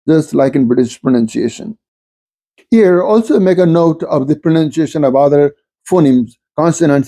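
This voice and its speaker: A man giving a scripted educational lecture in a measured, explanatory manner. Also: fairly high pitch, dark tone. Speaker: lecturer, teacher.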